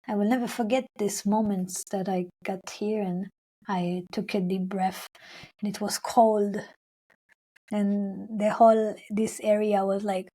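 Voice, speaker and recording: A woman's voice: medium pitch, dark tone. A young adult woman singer, a Hebrew speaker talking in English, telling her story in an interview with many fillers and restarts. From Israel-Palestine. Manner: rambling, many fillers and restarts, acts out her own past words.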